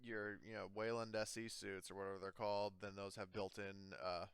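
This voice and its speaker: A man improvising tabletop game narration as game master in a casual, halting, self-correcting way. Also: lowish voice, flat delivery, fairly bright tone, smooth, no echo.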